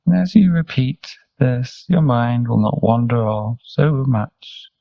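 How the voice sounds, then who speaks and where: A man leading a guided meditation as a teacher, speaking gently and soothingly in short, unhurried instructions with pauses. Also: medium pitch, animated, creaky, dry recording, muffled recording. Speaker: meditation teacher, Buddhist monk.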